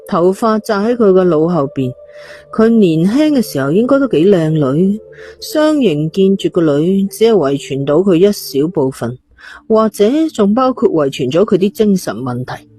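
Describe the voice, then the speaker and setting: A woman's voice: fairly low pitch, lively intonation, moderate pace, warm tone, faint music behind. A woman reading a scripted story as an audiobook narrator.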